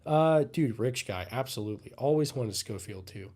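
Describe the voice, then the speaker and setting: Man with a sick, hoarse voice. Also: medium pitch, moderate pace. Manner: relaxed, rambling, answers chat comments. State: sick, losing his voice. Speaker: livestream host. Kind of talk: casual live Q&A chat.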